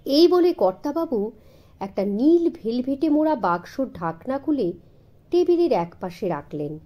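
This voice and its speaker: A woman narrating a children's story with dramatic expression and deliberate pauses. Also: high-pitched, big pitch swings, dull audio.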